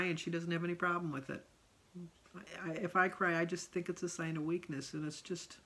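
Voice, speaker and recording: Low-pitched middle-aged woman vlogging candidly. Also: fairly bright tone. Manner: rambling, candid. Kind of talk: casual personal vlog.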